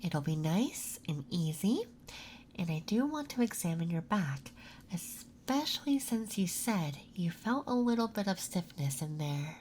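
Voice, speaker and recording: A gentle, reassuring ASMR performer playing a nurse, speaking softly and breathily through a soothing medical exam roleplay. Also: medium pitch, slight background noise. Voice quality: soft, breathy whispering.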